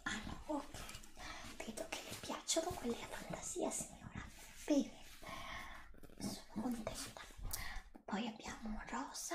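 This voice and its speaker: A middle-aged woman whispers in a breathy, high-pitched voice. She plays an attentive shop assistant in an ASMR roleplay, gently advising a customer at a slow pace. The room adds a noticeable echo. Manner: gentle, attentive sales patter to an imagined customer. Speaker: ASMR creator playing a clothing shop assistant.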